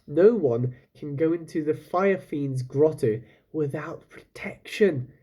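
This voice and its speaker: A man's voice: on the high side, dark tone, pitched above the performer's own voice. A man voicing a frantic, scolding old father in a children's storybook, anguished and urgent. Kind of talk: children's storybook character reading.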